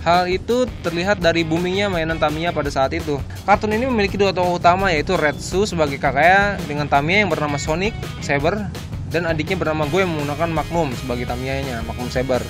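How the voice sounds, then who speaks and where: A man's voice: fairly high pitch, fast talker. A young man, hosting a vlog in casual, nostalgic chat. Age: young adult. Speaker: YouTube vlog host. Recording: background music behind the voice.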